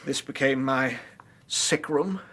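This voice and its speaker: An actor playing a fragile, nervous Victorian gentleman recovering from illness in a radio drama, husky and anxious, with an English accent. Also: medium pitch, takes it slowly. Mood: weak, rueful. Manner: anxious, self-reproachful.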